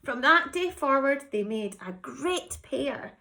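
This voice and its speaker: A high-voiced woman narrates a children's picture book at a slow, measured pace. She stresses words dramatically and breaks off for chatty asides to young listeners, as a teacher would. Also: gravelly. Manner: animated, dramatic emphasis. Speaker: teacher reading a story to children.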